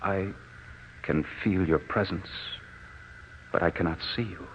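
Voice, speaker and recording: Deep-voiced, haunted young lover. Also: male voice, a little slow, a little hiss or hum. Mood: yearning, anguished. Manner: solemn, poetic, impassioned. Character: grieving young male war veteran, devoted, haunted romantic. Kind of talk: 1940s radio drama acting. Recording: muffled old radio recording.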